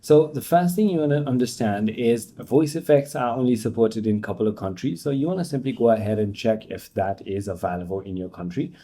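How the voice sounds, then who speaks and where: A man's voice: medium pitch, brisk, few pauses, dark tone. A man narrating a how-to tutorial as its host, in an instructional, step-by-step manner.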